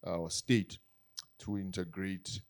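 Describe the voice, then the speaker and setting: A man's voice: deep voice, little pitch movement, slow. A man in a casual panel chat, agreeing and interjecting often.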